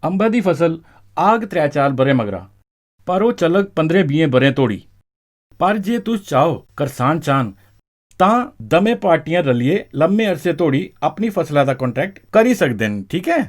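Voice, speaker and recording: A man's voice: a little high, sing-song, normal speed. A man with a Dogri accent explains in a conversational, instructive way for an educational film, often checking that he is understood. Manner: didactic, often seeks confirmation with short check questions. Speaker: expert explaining a farm law to farmers.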